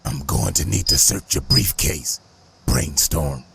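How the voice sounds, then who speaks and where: Very gravelly, low robot security chief. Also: male voice, slow, slight background noise, heavily acted. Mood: suspicious, sardonic. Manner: deadpan, curt, officious. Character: gruff, suspicious, sardonic robot security chief.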